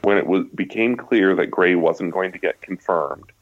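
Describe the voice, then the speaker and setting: An American man with a deep voice reads from a nonfiction book as a guest author. The sound is dry, with little room around it, and slightly muffled in fidelity. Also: normal speed, no room sound. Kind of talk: reading a nonfiction book passage aloud. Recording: slightly dull, lo-fi audio.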